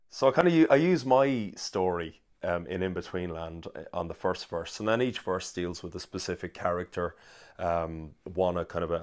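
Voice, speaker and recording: Irish guy, real low voice, thinking out loud about his song, lots of ums and restarts. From Ireland. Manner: thoughtful, halting, with frequent ums and restarts. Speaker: singer-songwriter talking about his song. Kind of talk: reflective interview talk.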